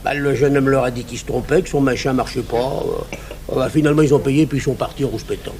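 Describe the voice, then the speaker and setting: A man's voice: mid-range voice, steady tone, fast, dark tone, a little hiss or hum, close to an everyday voice. An actor playing an obliging, slightly evasive male café owner, talking in a colloquial, hesitant ramble in a French radio drama. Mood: chatty, obliging.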